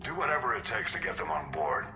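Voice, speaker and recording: Stern admiral over echoey comms. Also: male voice, lowish voice, very animated, background noise. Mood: stern, resolute. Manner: brisk, authoritative. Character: older male admiral, stern, steady, authoritative military leader. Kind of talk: video game cinematic acting. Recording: echoey comm transmission, music behind.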